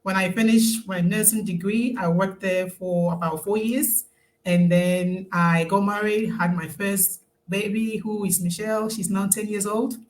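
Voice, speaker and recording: A woman's voice: mid-range voice, fairly even intonation, measured pace, darkish tone, a little hiss or hum, poor audio. Chatty woman in her thirties. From Ghana. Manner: friendly, laughs often, chatty. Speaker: guest, nurse turned online business owner. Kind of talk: casual live-stream interview.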